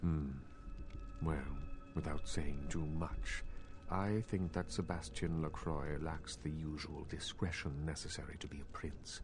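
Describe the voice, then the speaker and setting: An actor playing a scheming, cultured elder male vampire in a video game, speaking in formal, measured, condescending phrases. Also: rumbling low, steady tone. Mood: amused, condescending. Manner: formal, measured, courtly speech. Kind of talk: video game character dialogue. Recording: loud game music behind. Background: music.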